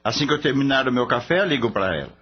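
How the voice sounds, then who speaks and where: A man playing a gentle, easygoing young veterinarian and devoted son in a radio soap opera, with a husky voice. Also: medium pitch, very animated, fast. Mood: earnest. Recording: dull lo-fi audio with music behind.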